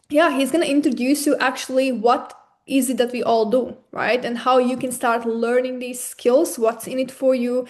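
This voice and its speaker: A woman hosting an online webinar, upbeat and grateful as she introduces the next speaker. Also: on the high side. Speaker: webinar host. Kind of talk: enthusiastic online presentation.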